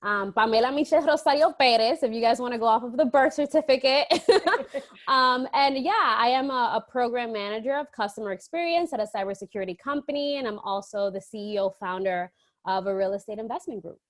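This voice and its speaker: A woman from the Dominican Republic with a slightly high voice talks as a podcast guest. She tells stories in a casual, conversational way. Her speech is informal and often slips into quoted dialogue. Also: brisk. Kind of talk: casual interview storytelling.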